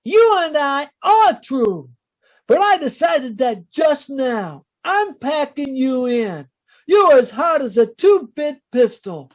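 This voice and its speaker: An actor playing a vengeful, taunting crook in slangy 1940s radio style. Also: male voice, very high-pitched, very animated, slow pace, heavily acted. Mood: bitter, threatening. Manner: slangy gangster talk, taunting. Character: menacing, double-crossed male crook, coldly vengeful. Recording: dull, lo-fi video-call audio.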